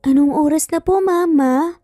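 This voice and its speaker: A woman voicing an excitable eight-year-old boy in a Tagalog story, with whiny, insistent childlike pleading. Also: sits high, normal speed, clean studio sound, pitched above the performer's own voice, lightly acted. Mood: sleepy, innocent. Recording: faint music, roomy echo.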